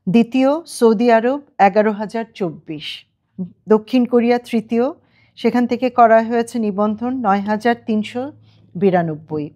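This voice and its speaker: A woman's voice: on the high side, normal speed, dark tone. A woman news presenter with a Bangladeshi accent reading scripted news items.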